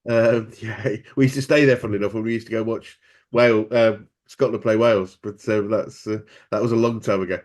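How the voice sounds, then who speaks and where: A man's voice: fairly low pitch, fairly even intonation, a little fast, darkish tone. A man hosting a business interview, encouraging his guest with frequent affirmations and occasional stumbles. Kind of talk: conversational interview.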